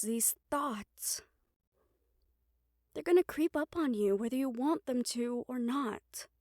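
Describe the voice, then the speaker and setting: Woman acting a gentle young banshee, lightly high-voiced. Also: dry recording, clean studio sound. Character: gentle young female banshee from Puerto Rico, caring crush. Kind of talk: intimate audio roleplay acting, one-sided conversation.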